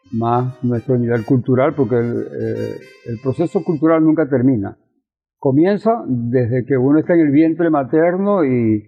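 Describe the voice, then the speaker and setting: An older Venezuelan man speaking reflectively as an interview guest, halting and repeating phrases. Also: medium pitch. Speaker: interview guest, university professor. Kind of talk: reflective conversation. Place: balcony of his house. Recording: thin phone-like sound, faint music behind.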